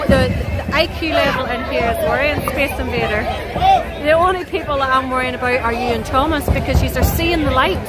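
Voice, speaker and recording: Brief: Northern Irish woman, high voice, chatty live commentator, loud marching band and crowd behind. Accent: Northern Irish (Ulster). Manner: chatty, teasing, answering viewer comments. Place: outdoors at a street band parade. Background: marching bands and crowd.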